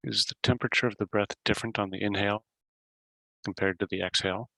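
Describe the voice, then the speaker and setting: Low-voiced man guiding a meditation. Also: steady tone, gravelly, sharp tone, dry recording, low-quality recording. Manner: measured instructional delivery with long pauses between short phrases. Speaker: meditation guide and podcast host. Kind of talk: guided meditation narration.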